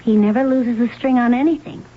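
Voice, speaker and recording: An actress playing a scheming, possessive, cold-blooded mistress in a 1970s radio drama, coaxing, hard and mocking. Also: female voice, a little high, fairly even intonation, fairly quick, close to an everyday voice. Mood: cool, goading. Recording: dull, noisy old radio recording.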